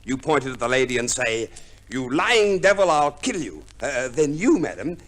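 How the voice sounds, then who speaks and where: Fussy, scheming lawyer, swooping delivery. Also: male voice, mid-range voice, sing-song, moderate pace, a bit bright. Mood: relishing instruction. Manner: hesitant stammering, pedantic, precise. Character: fussy, shrewd male divorce lawyer, theatrically scheming. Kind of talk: 1950s radio play acting.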